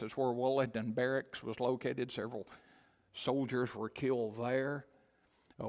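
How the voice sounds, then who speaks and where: A man preaching a Bible sermon as a pastor, with an emphatic, dramatic delivery that repeats phrases for stress. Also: medium pitch. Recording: long hall reverb.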